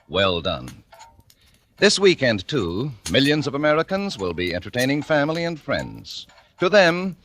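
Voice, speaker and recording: A man's voice: mid-range voice, fairly bright tone. A man working as a sponsor's announcer, giving a polished, scripted 1940s radio advertising read. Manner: polished, measured salesmanship. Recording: faintly noisy 1940s radio recording.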